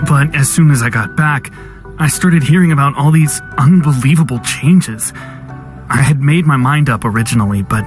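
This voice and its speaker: A man's voice: medium pitch, lightly acted. A man voicing a worried fugitive who speaks politely and hesitantly, over game music. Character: worried man hiding from arrest. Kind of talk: video game dialogue. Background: game background music.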